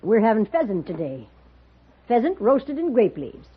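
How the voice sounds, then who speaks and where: An actress playing a plainspoken, bossy but hospitable frontier boardinghouse matron with a rural Western American accent in a radio drama. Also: female voice, on the high side, sing-song, normal speed, husky, heavily acted. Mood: brisk, folksy. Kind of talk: 1950s radio drama acting. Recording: muffled vintage radio recording.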